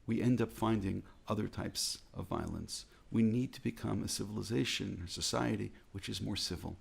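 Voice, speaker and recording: Gravelly earnest American man. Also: on the low side. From United States. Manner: earnest, measured, repeats phrases for emphasis. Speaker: rabbi. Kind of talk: reflective talk.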